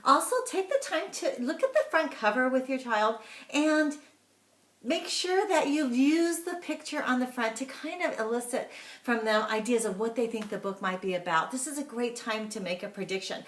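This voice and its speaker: A woman speaking as a teacher, giving instructional tips to parents in an animated, emphatic manner. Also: a little high, moderate pace. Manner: animated, emphatic, acts out example questions. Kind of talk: instructional talk to camera. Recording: echoey room sound.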